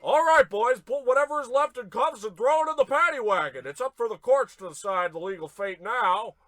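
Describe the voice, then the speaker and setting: A man playing an urgent, commanding, shouty police officer in an old-time radio comedy. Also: very high-pitched, expressive, a little fast. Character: frantic, commanding male police officer in a shootout. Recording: loud gunfire sound effects, noisy.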